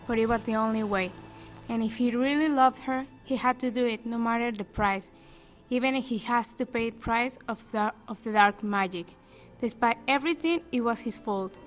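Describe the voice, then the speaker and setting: A woman's voice: a little high, moderate pace, soft background music. A young adult woman narrating a fairytale from a script.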